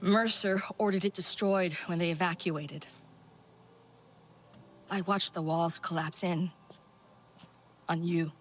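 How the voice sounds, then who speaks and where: An actress playing a mature, guilt-laden resistance leader in a video game, solemn, halting and reassuring. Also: female voice, on the low side, fairly even intonation, faint music behind. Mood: somber. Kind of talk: video game cutscene acting.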